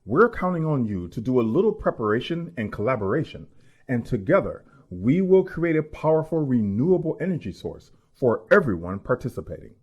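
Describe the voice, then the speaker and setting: A man voicing a friendly, even-toned narrator for a scripted instructional video. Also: medium pitch, fairly quick, dull audio, pitched above the performer's own voice.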